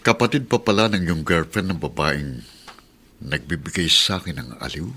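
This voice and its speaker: An actor playing a worldly, cynical middle-aged uncle in a radio drama. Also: male voice, extremely low pitch, normal speed, bright, forceful tone, breathy edge, heavily acted. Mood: sly, amused. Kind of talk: Tagalog radio drama acting.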